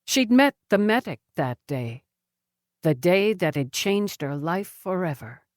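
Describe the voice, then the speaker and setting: A woman narrating a novel as an audiobook, in a measured, grave and dramatic way. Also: lowish voice, sing-song, no room sound, clean studio sound.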